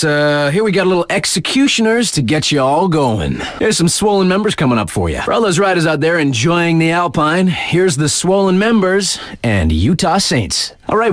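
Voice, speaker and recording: A man's voice: on the high side, dry recording, studio quality. An actor playing a laid-back, cocky young male radio DJ in a snowboarding video game, introducing songs in breezy slang with wry asides. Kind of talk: casual radio DJ patter, song introductions. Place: dry studio.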